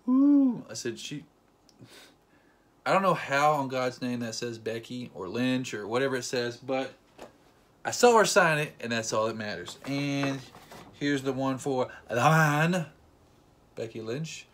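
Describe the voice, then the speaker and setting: A young man chats to the camera in a casual vlog, playful and quick to joke. His voice sits at an ordinary middle pitch, and he slips into little acted quotes as he retells the story. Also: expressive, fairly bright tone. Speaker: vlogger.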